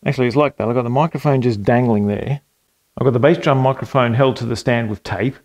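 Relaxed rambling man in a home studio. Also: medium pitch, quick pace, muffled recording. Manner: relaxed and rambling, with fillers and self-corrections. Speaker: home music producer and channel host. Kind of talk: casual walkthrough of a home recording. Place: small home studio room at a computer desk.

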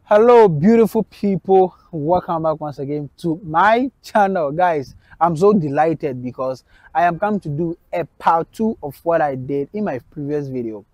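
A man's voice: on the high side, lively intonation, moderate pace, a little mellow, no room sound. A young man hosting a street interview vlog, chatty and upbeat as he urges viewers to subscribe, filmed outdoors on a campus. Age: young adult. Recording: faint outdoor background noise.

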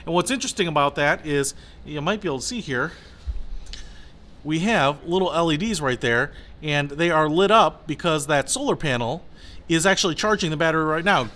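A man's voice: on the high side, bright, forceful tone. A middle-aged American man giving a casual, chatty, enthusiastic product demonstration. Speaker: product review host.

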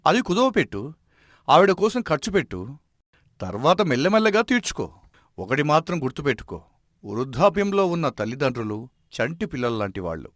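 A man playing a wise, respected village elder in an animated moral story, giving advice in a measured, admonishing way. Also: fairly high pitch, dry recording, clean studio sound. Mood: calm advising.

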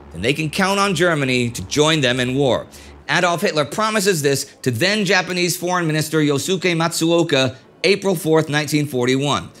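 A man's voice: fairly high pitch, fairly bright tone, a little hiss or hum. A middle-aged man hosting a history show, presenting a script in a conversational way with wry asides, seated at a desk in a studio set with a lapel mic. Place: indoor studio set styled as an office.

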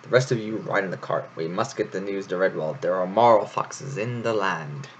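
Man doing a blustering stage-actor hare, booming and grand, lowish voice, dull lo-fi sound. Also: normal speed, slight background noise, lightly acted. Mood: commanding. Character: pompous theatrical male hare actor.

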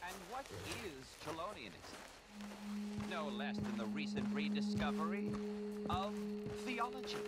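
A man's voice: a little high, big pitch swings, a little slow, over loud music. An actor playing a serene, smug male cult preacher in a game, speaking with pompous, lilting piety. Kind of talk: dramatic video game acting.

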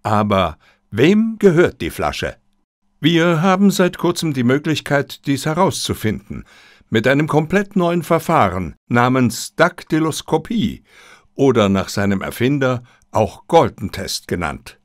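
A man voicing a confident detective in an audiobook, speaking deliberately and politely with theatrical emphasis. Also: medium pitch, sing-song, no room sound, clean studio sound. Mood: assured, explanatory. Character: confident, theatrical male detective addressing suspects.

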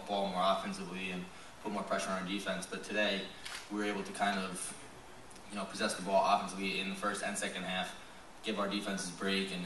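Low, flat young man, fast, echoey. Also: background noise. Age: young adult. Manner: quick and flat, hedges with "kind of". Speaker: college lacrosse player. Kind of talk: postgame press conference answers. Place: press conference room. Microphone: table mic, recorded from a far camera. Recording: roomy echo from far off.

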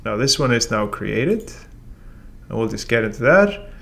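A man in his forties teaching a software tutorial in a relaxed, step-by-step way, with frequent ums. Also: fairly low pitch, unhurried, gravelly. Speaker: software trainer. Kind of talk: casual screen-share tutorial. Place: room with a brick wall and whiteboard, then voice-over of screen recording.

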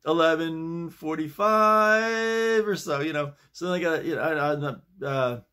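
An American man in his sixties chatting casually to camera in a halting, digressive way, often repeating his phrases. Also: fairly high pitch, bright, forceful tone, clear, resonant tone. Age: 60s. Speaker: vlogger. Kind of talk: rambling casual chat to camera. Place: small home office, indoors.

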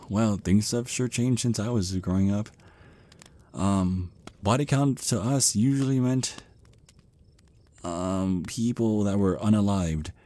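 Man playing a shy stammering vampire, deep-voiced. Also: darkish tone, dry recording. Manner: stammering, hesitant, flustered, rambling. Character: shy, nervous, apologetic male vampire on a first date. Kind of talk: ASMR roleplay monologue, conversational.

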